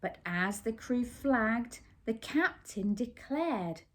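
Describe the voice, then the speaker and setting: High, lilting woman reading a children's story. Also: lively intonation, slow, a bit bright. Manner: addresses young children with simple questions and explanations. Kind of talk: expressive picture-book read-aloud with asides to young listeners.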